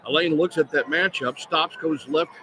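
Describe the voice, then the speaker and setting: A man's voice: on the high side, moderate pace. A man doing live play-by-play radio commentary of basketball, describing the action in clipped, running phrases. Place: indoor sports arena, courtside. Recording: loud arena noise behind. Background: loud ambient arena noise.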